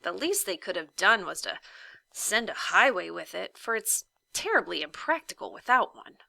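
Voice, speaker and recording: A woman's voice: medium pitch, very animated, moderate pace, creaky, sharp tone, dry recording, heavily acted. A woman voicing a bored, skeptical young boy in a storybook, musing half to himself. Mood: unimpressed, musing.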